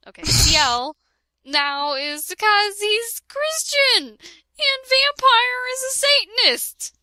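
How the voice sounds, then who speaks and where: A woman's voice: very high-pitched, pushed and bright, dry recording. Giggly woman doing a comedic reading. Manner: giggly, keeps breaking into laughter and sarcastic asides. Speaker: reader of a parody fan fiction. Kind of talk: comedic dramatic reading with a friend.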